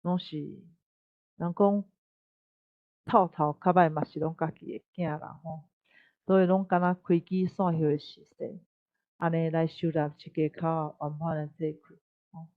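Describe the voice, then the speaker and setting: Low-voiced woman, Taiwanese accent, forum speaker, phone-like narrowband recording. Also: fairly even intonation, unhurried, pauses often. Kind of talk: explanatory sharing at a forum. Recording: narrow, muffled online-call sound.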